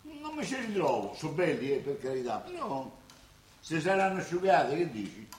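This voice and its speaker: A man's voice: on the high side, big pitch swings, moderate pace. An actor playing a stubborn, grumbling old grandfather with a Roman accent in a radio play. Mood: wheedling. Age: older. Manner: grumbling, wheedling. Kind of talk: radio theatre acting in dialect. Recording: roomy, faintly noisy stage recording.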